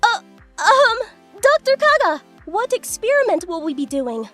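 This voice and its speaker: A woman's voice: very high-pitched, big pitch swings, unhurried, a bit bright, exaggerated voice. A woman voicing a hapless, earnest young art student in an anime dub, dramatic and easily flustered, with music behind. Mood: hopeful, flustered.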